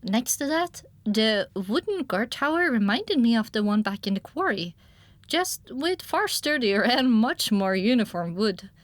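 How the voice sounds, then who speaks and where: Higher-pitched woman narrating an audiobook, dry sound. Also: big pitch swings, unhurried, no echo. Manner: descriptive storytelling. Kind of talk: first-person scripted story narration.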